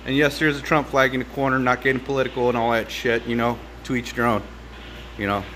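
A man's voice: mid-range voice, flat delivery, a bit bright, slight background noise. A middle-aged man talks through a welding test in his home garage, stopping often to hunt for words. He is recorded on a phone lying on the workbench, sometimes from a few steps away. The air conditioning hums faintly behind him. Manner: rambling, halting with many fillers. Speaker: hobby welder vlogger. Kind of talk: casual demonstration vlog. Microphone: phone camera set on the bench, sometimes far off.

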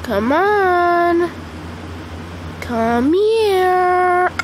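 A woman with a congested voice chatting casually over mobile gameplay, excitable and squealing at the game. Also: very high-pitched, very slow, background noise. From Michigan. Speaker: gaming channel host. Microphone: phone screen recording.

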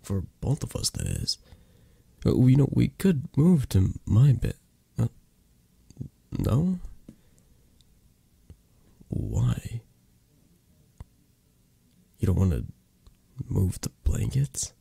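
Man acting a dark, husky mafia boss lover. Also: huge pitch swings, heavily acted. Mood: tender, flirtatious. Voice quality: breathy, husky, low.

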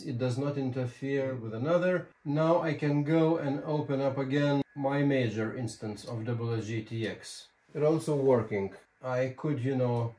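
A man's voice: medium pitch, dark tone. A man in his sixties giving an informal how-to explanation in a conversational, emphatic way with folksy asides. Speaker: ham radio tutorial presenter. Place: small home radio room by a window.

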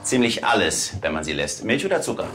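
An actor playing a polite, courteous doctor in a TV crime drama, conversational in manner. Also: male voice, fairly low pitch, sing-song, fairly quick, music in the background. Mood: relaxed hosting. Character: smooth, polite male doctor living alone. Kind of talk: naturalistic TV crime drama acting.

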